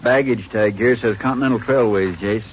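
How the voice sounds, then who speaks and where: An actor playing a brisk, plainspoken small-town sheriff in a 1950s radio drama. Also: male voice, lowish voice, natural voice. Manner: brisk, plainspoken with rural phrasing. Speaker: county sheriff. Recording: old lo-fi radio broadcast with clear hiss.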